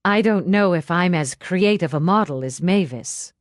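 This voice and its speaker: A woman voicing a composed, polite female doctor with a measured, gently amused manner, in an audiobook. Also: on the low side, lively intonation, dry recording, clean studio sound, lightly acted.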